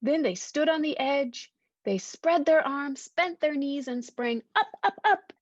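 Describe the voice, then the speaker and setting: A woman reading a storybook aloud to young children, expressive and simply phrased, stopping to ask them questions. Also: sits high, measured pace, dry recording. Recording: dull, lo-fi sound.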